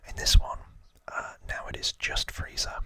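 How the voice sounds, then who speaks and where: A man hosts ASMR in a fully unvoiced whisper, very close to the microphone. The sound is dry and sharp-edged. He retells a story informally, with a hesitant, self-correcting, rambling delivery. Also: normal speed, dry recording. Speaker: ASMR video host.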